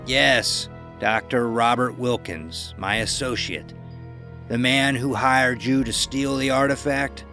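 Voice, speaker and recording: A smug bandaged old villain taunts with a raised, slow, drawn-out voice, as a male narrator puts him on. Every word drips with condescension. Soft music plays beneath the storybook reading. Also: medium pitch, pitched above the performer's own voice. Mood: menacing. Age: older. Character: sinister elderly bearded man, face bandaged, smug. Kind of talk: audiobook character voice.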